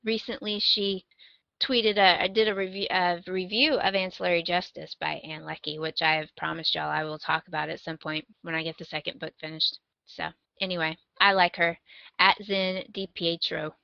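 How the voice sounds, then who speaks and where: A woman's voice: lowish voice, steady tone, normal speed, vocal fry, no echo. Woman podcaster, dry and slightly dull sound. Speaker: podcast co-host. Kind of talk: casual podcast chat with recommendations.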